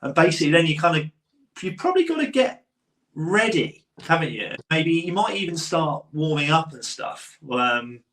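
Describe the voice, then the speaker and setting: A man's voice: on the high side, very animated, moderate pace, fairly bright tone. A man co-hosting a sports podcast in loose, casual chat, laughing often. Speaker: podcast co-host. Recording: roomy echo.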